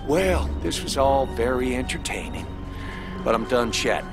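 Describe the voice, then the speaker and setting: An actor playing a corrupt cop turned criminal, taunting and deliberate with a folksy edge, in a video game. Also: male voice, mid-range voice, expressive, natural voice. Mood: menacing, scornful. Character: corrupt cop turned criminal, menacing, mocking, cornering his hostages. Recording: dramatic music behind.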